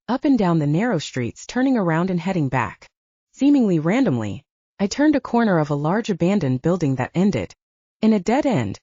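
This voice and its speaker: A woman's voice: on the low side, dark tone, no room sound, highs cut off. A woman reading first-person fiction as a scripted audiobook narration.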